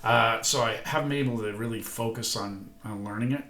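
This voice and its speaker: A man's voice: medium pitch, brisk, sharp tone. Older man, rambling, in an echoey room. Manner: rambling, self-deprecating, folksy. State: congested, recovering from illness. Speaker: vlogger learning guitar. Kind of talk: casual vlog chat. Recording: echoey room, guitar playing.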